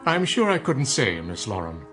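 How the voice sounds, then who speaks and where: A man's voice: on the low side, very animated, moderate pace, fairly bright tone, faint music behind. An actor playing a formal, evasive male robot butler in a radio drama, speaking stiffly and deferentially.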